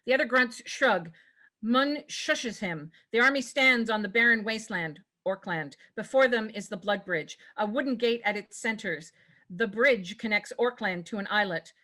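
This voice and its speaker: A woman narrates a script's stage directions. Her voice is bright and forward, at a medium pitch, with a read-aloud scripted delivery. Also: normal speed.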